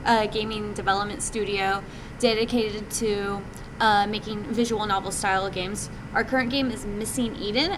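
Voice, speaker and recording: A woman giving an informal slide presentation in a chatty, hesitant, slightly self-conscious way. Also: medium pitch. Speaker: presenter from a game development studio. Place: indoor meeting room. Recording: noisy live meetup recording, background hum.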